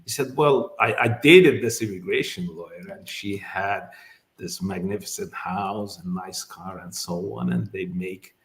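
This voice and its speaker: Middle-aged man from Azerbaijan, low-pitched. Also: a little slow, echoey room. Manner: thoughtful, many fillers and restarts. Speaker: immigration lawyer, guest. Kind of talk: casual interview.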